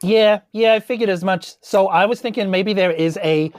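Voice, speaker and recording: A woman's voice: medium pitch, moderate pace, a little mellow, dry recording. A woman improvising casually as a tabletop game player, in a hesitant, rambling way. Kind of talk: casual in-character improvised chat.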